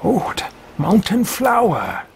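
Man voicing a pompous, lilting, slow-talking greedy mage musing aloud; home recording. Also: high voice, huge pitch swings, vocal fry, slightly rough, full character voice. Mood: haughty. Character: haughty male mage-thief, self-talking, greedy. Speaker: let's play host. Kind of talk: in-character game roleplay. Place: home room with bookshelves.

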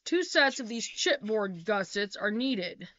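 A woman's voice: mid-range voice, sing-song, unhurried, sharp tone, no room sound. A middle-aged woman, a homesteading vlogger, reads a practical how-to narration in a small wood-paneled room, with small self-corrections. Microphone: laptop webcam. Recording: dull, lo-fi webcam sound.

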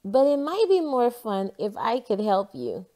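Woman playing confident storybook girl scientist, eager, instructive, lilting lively pitch, measured pace. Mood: helpful, upbeat.

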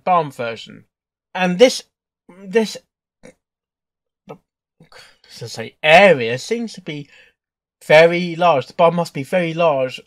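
A man hosting a reaction video with casual, informal commentary, halting and rambling as he thinks aloud. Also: sits high, fairly bright tone. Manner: halting, repeats and rambles through thoughts. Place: indoors at a computer.

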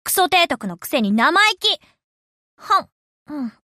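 A woman voicing young anime shipgirls in expressive, girlish game voice lines. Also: high voice, normal speed, dry recording, studio quality, heavily acted. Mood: haughty, flustered. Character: young anime shipgirls addressing their admiral over gifts.

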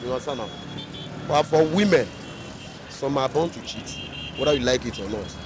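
A man's voice: medium pitch, lively intonation, normal speed. Emphatic middle-aged Nigerian man on the street. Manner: emphatic, repeats his point. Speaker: passerby interviewee. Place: busy city roadside outdoors. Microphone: handheld mic held by interviewer. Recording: outdoor street with traffic noise.

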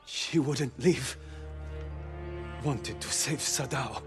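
Slow, distraught, guilt-stricken man. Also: medium pitch, music in the background. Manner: halting, distraught. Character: guilt-stricken, loyal man pleading after a killing. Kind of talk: dramatic video game acting.

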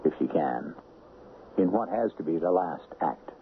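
A man's voice: low-pitched, lively intonation, unhurried. A man hosting a radio drama, narrating in a musing, literary, theatrical way. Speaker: host of a radio mystery series. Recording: dull, noisy vintage radio recording.

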